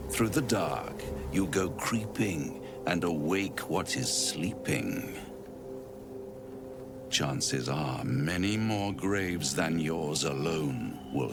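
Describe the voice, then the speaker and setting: An actor playing a suave, scheming devil in a video game, with grandiloquent, condescending and menacing delivery. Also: male voice, deep voice, animated, slow pace, over music, exaggerated voice. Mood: teasing, sly. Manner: grandiloquent, rhyming, smoothly condescending. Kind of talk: theatrical video game acting.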